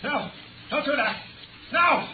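Paranoid, threatening young man, erratic and swinging in pitch, tinny vintage radio recording. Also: expressive, slow, noisy background, exaggerated voice. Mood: agitated, threatening. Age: young adult. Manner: agitated, erratic. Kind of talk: 1950s radio acting.

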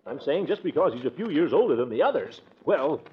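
Pompous uncle, wildly swooping voice. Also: male voice, a little high, sing-song, fairly quick. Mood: blustering, defensive. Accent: American. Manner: indignant, theatrical, chuckling and sputtering. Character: pompous, blustering middle-aged uncle, easily flustered. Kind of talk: 1940s radio sitcom acting. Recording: muffled, noisy 1940s radio recording.

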